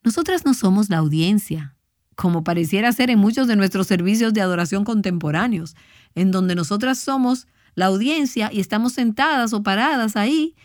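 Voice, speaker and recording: A woman's voice: medium pitch, a little mellow, no room sound. Female Bible teacher for a devotional talk; earnest, exhorting delivery, mid-range voice, close dry sound. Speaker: Bible teacher, conference speaker.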